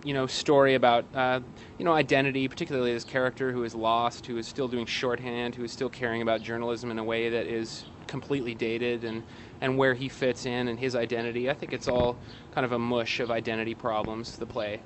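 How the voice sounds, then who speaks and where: Guy being interviewed outside in an alley, kinda halting and wry, mid-pitched voice, some traffic noise. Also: little pitch movement. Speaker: guest, actor and playwright. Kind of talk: casual interview chat. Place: outdoors in a city alley. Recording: street noise, traffic outdoors.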